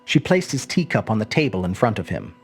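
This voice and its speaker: A woman reads literary fiction as a storyteller. Her voice is husky and breathy, with animated swings in pitch. Music sits faintly underneath the narration. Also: quick pace.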